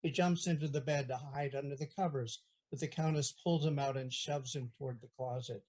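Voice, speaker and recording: A man's voice: medium pitch, fairly even intonation, brisk, darkish tone. A man reading out stage directions as narrator of an audio play, plain and matter-of-fact.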